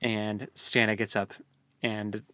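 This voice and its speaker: A man's voice: on the low side, little pitch movement, slow pace, sharp tone, no echo. A man co-hosting a podcast, chatting about a book in an informal, slangy, loosely phrased way. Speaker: podcast co-host. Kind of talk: casual book discussion chat.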